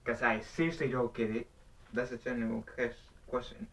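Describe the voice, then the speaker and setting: A man's voice: mid-range voice, normal speed, a bit bright. A young man vlogging off the cuff, rambling and restarting as he earnestly poses a question. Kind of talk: unscripted talk to camera. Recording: voice somewhat distant from the mic.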